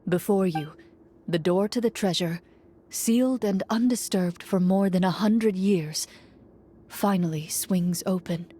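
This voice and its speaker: A woman's voice: on the low side, measured pace, warm tone, slight background noise, natural voice. A woman voicing a composed, solemn game master who narrates formally and dramatically in an anime video game, over music. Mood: solemn, narrating. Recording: music behind the voice.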